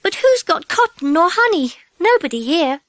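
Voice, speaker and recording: Excitable little British storybook schoolgirl: extremely high, swooping, prim and exclamatory. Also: normal speed, no echo, exaggerated voice. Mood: squeamish. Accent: British English.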